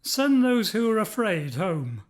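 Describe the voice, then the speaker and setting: A man voicing a commanding God in a children's Bible story, in a measured, authoritative manner. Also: high-pitched, sing-song, pitched above the performer's own voice.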